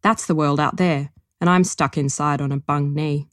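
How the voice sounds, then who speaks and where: A woman reading aloud as a gruff, smug older man who grumbles in a dry, stony way. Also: sits low, steady tone, normal speed, no echo, lightly acted. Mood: grumbling. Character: gruff old bookish man, blunt and smug.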